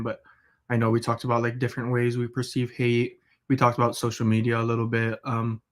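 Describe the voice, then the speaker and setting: A young man from Hawaii in his twenties hosting a casual podcast, relaxed and halting, with many fillers. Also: medium pitch. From Kauai, Hawaii. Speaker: podcast host.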